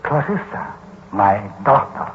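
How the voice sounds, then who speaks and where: An actor playing a lonely, courteous old German man in a 1940s radio drama, speaking haltingly and wistfully with a German accent. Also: mid-range voice, big pitch swings. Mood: guarded, sad. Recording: muffled, noisy 1940s radio recording.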